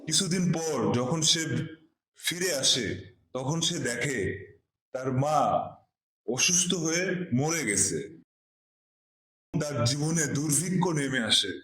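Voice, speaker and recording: A man playing a gentle wandering beggar who tells moral stories to children in a cartoon. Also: fairly high pitch, expressive, slow, pauses often, heavily acted. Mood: solemn storytelling. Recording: roomy, echoing voice track.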